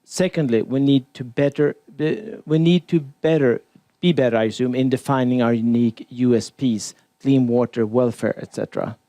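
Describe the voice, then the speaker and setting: A man's voice: mid-range voice, moderate pace, warm tone, no room sound. A man moderating a conference panel, keeping his prompts brief and reading out audience questions.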